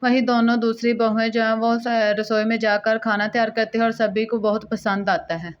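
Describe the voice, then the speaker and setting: A woman's voice: on the high side, level tone, moderate pace. A woman narrating a scripted Hindi cartoon story as storyteller, in a dull lo-fi recording.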